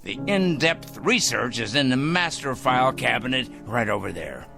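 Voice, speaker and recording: A man's voice: mid-range voice, animated, normal speed, creaky, over music. An actor in a radio drama playing a fussy, sanctimonious, secretive man obsessed with judging evil, speaking precisely and condescendingly. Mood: self-righteous, menacing. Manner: pompous, condescending, precise and theatrical.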